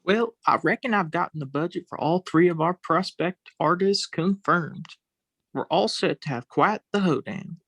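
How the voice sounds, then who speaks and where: An actor playing a folksy, boastful cowboy type with a gravelly voice and a drawl in a comedy web series. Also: male voice, on the high side, normal speed, fairly bright tone, no room sound. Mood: proud.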